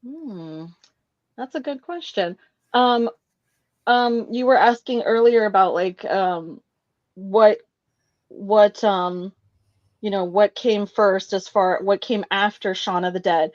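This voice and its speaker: A woman's voice: medium pitch, takes it slowly, darkish tone. Woman co-host, dull lo-fi sound. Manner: chatty, lots of fillers like "um" and "like". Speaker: podcast co-host. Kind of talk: casual film discussion.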